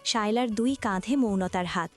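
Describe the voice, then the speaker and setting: A woman's voice: mid-range voice, moderate pace, soft background music. A woman reading scripted narration for a romantic family audio story.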